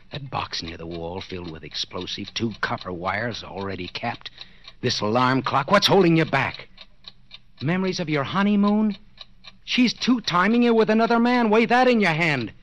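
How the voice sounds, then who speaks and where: Deep, gravelly brooding murderous husband. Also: male voice, a bit bright, highs cut off. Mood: bitter, brooding, then agitated, urging. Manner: muttering, brooding self-talk in second person. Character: betrayed husband coldly plotting to murder his wife. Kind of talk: 1940s radio thriller acting. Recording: noisy vintage 1940s radio broadcast.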